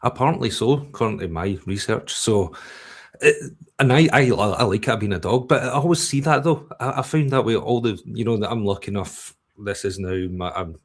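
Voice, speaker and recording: Podcast host, male, forties, Scottish accent, lowish pitch, casual and rambling sports talk. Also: moderate pace. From Scotland. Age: 40s. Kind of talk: casual sports interview chat.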